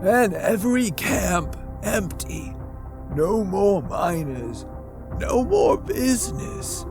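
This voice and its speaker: A man's voice: sits high, big pitch swings, slow pace, voice pushed up, heavily acted. A man voicing an old frontier townsman, blunt and folksy, drawling in backwoods grammar, over background music. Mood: grave.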